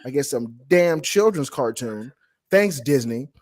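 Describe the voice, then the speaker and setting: A man's voice: medium pitch, lively intonation, unhurried, a little mellow, no room sound. Man bantering bluntly, dry close sound. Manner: joking, sweary, blunt. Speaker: guest co-reviewer. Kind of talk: casual banter and commentary.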